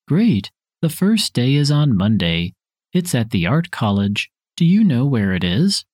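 Man, husky voice, lively intonation, scripted listening-test dialogue, careful delivery. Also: mid-range voice, a little slow, dry recording, studio quality. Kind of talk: scripted textbook dialogue reading.

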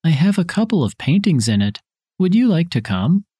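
Man, husky voice, lively intonation, scripted listening-test dialogue, careful delivery. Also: a little high, normal speed, dry recording, studio quality. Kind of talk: scripted textbook dialogue reading.

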